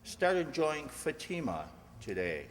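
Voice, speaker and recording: Man as an animated vintage radio pitchman. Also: a little slow. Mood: salesman-like. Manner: emphatic, salesman-like. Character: smooth-selling male 1950s radio cigarette pitchman. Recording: reverberant hall.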